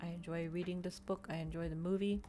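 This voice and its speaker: A woman's voice: lowish voice, fairly even intonation, quick pace, mellow, faint music behind. A woman streamer doing an ASMR stream, reading a book and chatting casually to listeners. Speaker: VTuber streamer, reader.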